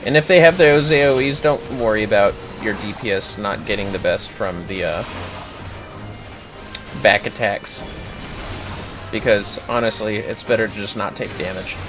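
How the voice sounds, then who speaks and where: Man, low voice, game streamer, casual tutorial commentary, informal and sweary, music behind. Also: moderate pace, pauses often. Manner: informal, swears, explains step by step. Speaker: livestreamer, gaming host. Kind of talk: casual gameplay commentary and coaching.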